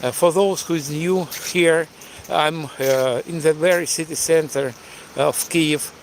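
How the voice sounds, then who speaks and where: A Ukrainian-accented man whose first language is Russian, live-streaming outdoors in the rain and wind. He talks haltingly, with many "uh" fillers. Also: on the high side, fairly even intonation. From eastern Ukraine. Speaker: live-stream host and tour guide. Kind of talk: live-streamed walking chat.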